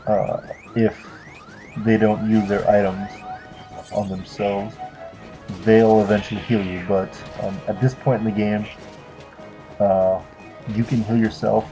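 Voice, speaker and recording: Low-voiced young man over game music. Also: flat delivery, unhurried, pauses often, warm tone. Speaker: let's play commentator. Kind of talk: casual unscripted gameplay commentary. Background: video game music.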